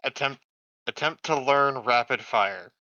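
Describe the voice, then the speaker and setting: A man's voice: mid-range voice, unhurried, pushed and bright, no echo, lo-fi sound. A man chatting casually as a player in a tabletop roleplaying game. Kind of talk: casual chat.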